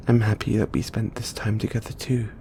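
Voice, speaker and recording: Over a faint rumbling noise, a man acts out a geeky mad scientist boyfriend in an intimate audio roleplay. He speaks slowly, stammering and hesitating, excitable and anxious yet tender. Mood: sad, tender. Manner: halting, stammering, breathy hesitations.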